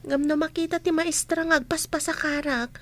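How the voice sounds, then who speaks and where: A woman's voice: high voice, steady tone, normal speed, a bit bright. A woman playing a bullied, studious teenage schoolgirl in an audio drama, earnest and plaintive. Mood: earnest, cautious. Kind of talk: amateur audio drama acting.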